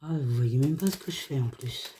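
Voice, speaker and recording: A woman hoarse from a cold, chatting casually through a hands-on craft tutorial in short, unhurried phrases. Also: extremely low pitch, warm tone, slight background noise. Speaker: craft video creator.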